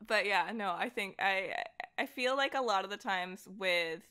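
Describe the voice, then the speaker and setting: Woman, bright forward voice, casual conversational guest, animated, giggly, self-deprecating. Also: mid-range voice, unhurried, smooth. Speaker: podcast guest, voice actor and director. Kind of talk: casual interview chat about comics and horror.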